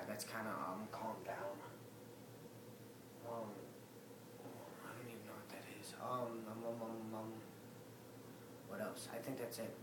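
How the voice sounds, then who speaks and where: A young man with a raspy voice, rambling to a webcam in a casual update, with lots of ums. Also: medium pitch, echoey room, noisy background. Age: young adult. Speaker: vlogger. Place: living room with a Christmas tree. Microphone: webcam at desk distance.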